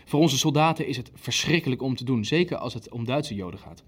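Ruthless nazi official in an audio play, pushy, coldly matter of fact, mid pitched voice. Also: male voice, animated, brisk, husky. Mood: grave, restrained. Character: ruthless, impatient Nazi official pressing for efficient killing. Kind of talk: dramatic dialogue in a radio play.

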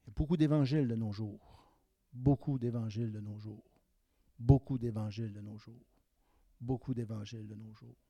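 A man in his fifties with a Québécois French accent, preaching a sermon that swings between raised exhortation and quiet, confiding asides. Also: on the low side, steady tone, moderate pace, no room sound, lo-fi sound. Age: 50s.

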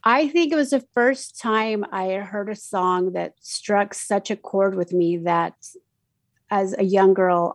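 A woman's voice: mid-range voice, no room sound. A middle-aged woman from Mexico City, a native Spanish speaker with a Mexican Spanish accent, chatting casually as a radio DJ in an interview, with many fillers and restarts.

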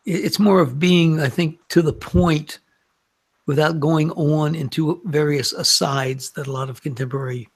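A man's voice: on the high side, steady tone, moderate pace. A man hosting an interview, stammering and repeating words as he asks questions. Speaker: interviewer, host.

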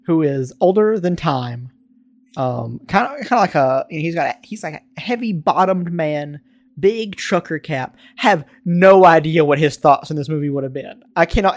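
An American man chatting casually and telling stories in a rambling, animated way. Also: a little high, dry recording. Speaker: podcast co-host. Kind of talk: casual chat, storytelling.

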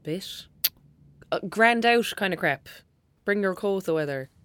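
A woman's voice: medium pitch, expressive, measured pace, fairly bright tone, smooth, dry recording. A woman playing a bold, teasing young friend in an audio drama, with an Irish accent and a wry, cajoling, colloquial manner. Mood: wry, casual. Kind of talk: naturalistic audio drama acting.

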